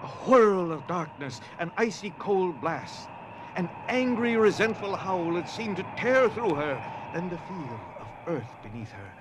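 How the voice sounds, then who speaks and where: A man's voice: high-pitched, very animated, measured pace. A man narrating an audio drama from a script in a dramatic storytelling style. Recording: noisy ambient sound behind.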